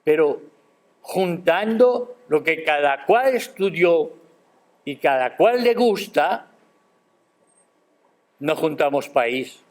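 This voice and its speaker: An older man giving a formal academic speech at a university ceremony, measured and emphatic, posing rhetorical questions. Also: a little high, lively intonation. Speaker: guest speaker at a university ceremony. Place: university auditorium.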